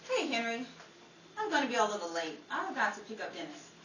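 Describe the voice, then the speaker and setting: Man playing helpful, easygoing pal for retro radio comedy; animated pitch, noisy recording. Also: fairly quick, distant mic. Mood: amiable, wry. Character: easygoing, helpful friend in a 1950s Hollywood circle. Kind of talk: old-time radio comedy acting. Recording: echoey, noisy, off-mic with crowd sound.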